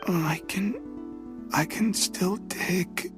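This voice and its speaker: Worn out miner in a daze, weak whispery mumble, really slow, music behind. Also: medium pitch, big pitch swings. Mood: dazed. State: exhausted. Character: collapsed, exhausted miner mumbling in a stupor.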